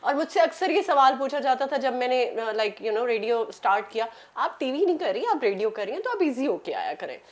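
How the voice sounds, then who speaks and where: A woman's voice: sits high, big pitch swings, brisk, hardly any pauses. A woman with a Pakistani accent, a radio broadcaster chatting as an interview guest and switching often into English. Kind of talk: conversational interview answers, mixing in English.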